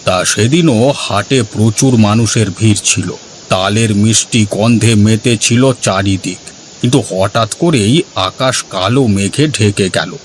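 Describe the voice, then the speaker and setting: A man playing an old village grandfather in a cartoon, gravely telling eerie legends and cautioning children. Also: fairly low pitch, measured pace, slight background noise, natural voice. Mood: eerie storytelling. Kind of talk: cartoon storytelling.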